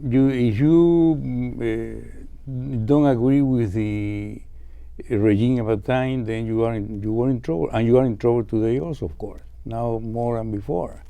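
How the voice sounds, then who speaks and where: A man's voice: medium pitch, a little slow, vocal fry, clear, resonant tone, narrow, tinny audio. An older man from Cuba with a Cuban Spanish accent. He is a guitarist answering interview questions politely and stops often to find his English words. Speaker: classical guitarist guest.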